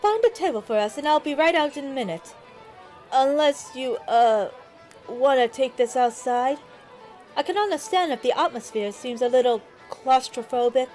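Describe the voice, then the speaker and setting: A woman playing a kindly, plain-spoken pony barmaid in an audio drama, folksy and gently protective. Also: high-pitched, lively intonation, normal speed, soft background music. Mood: welcoming.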